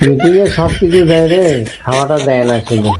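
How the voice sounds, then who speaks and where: A man's voice: mid-range voice, animated, fairly quick, a crowd in the background, natural voice, low-quality recording. A man playing a stingy old grandpa in a comedy skit, coaxing and making repetitive excuses in a rural North Bengal accent. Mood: evasive. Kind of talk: comedy skit acting in dialect.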